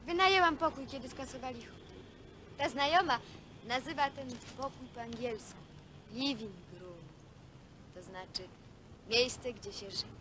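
An actress playing a dreamy young woman who confides wishful stories in halting phrases, in naturalistic Polish film dialogue. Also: high voice, fairly bright tone, a little hiss or hum, rough recording. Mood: dreamy, confiding. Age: young adult. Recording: old film soundtrack with music.

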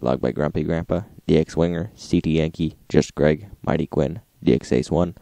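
Very deep, gravelly man reading logs. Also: moderate pace, warm tone, no echo. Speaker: host reading radio logs. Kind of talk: informal log recap narration.

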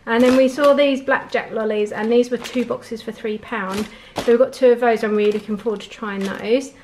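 A woman's voice: on the high side, fairly even intonation, normal speed, darkish tone, a little hiss or hum, poor audio. A woman, chatting casually through a shopping haul from home, with frequent laughs. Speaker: vlogger. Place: home conservatory, indoors.

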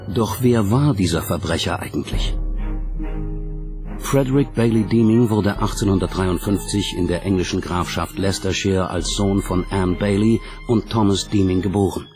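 A man reading scripted documentary narration as the narrator. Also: lowish voice.

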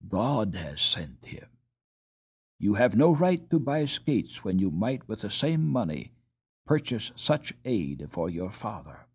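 A man voicing a solemn, admonishing conscience in a storybook, speaking in a hushed manner. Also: fairly low pitch, big pitch swings, takes it slowly, slightly rough, exaggerated voice. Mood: solemn urging.

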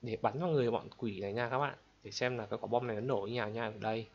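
A man hosting gameplay in an exclamatory, excited commentary style, addressing viewers like friends. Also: medium pitch, very fast, lo-fi sound. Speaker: gaming video host. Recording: loud game gunfire and clicks behind. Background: game gunshots, explosions and clicks.

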